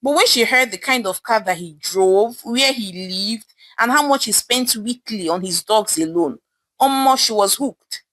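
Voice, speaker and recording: A woman's voice: a little high, measured pace, a bit bright. A woman with a Nigerian accent narrating a folktale dramatically as a storyteller.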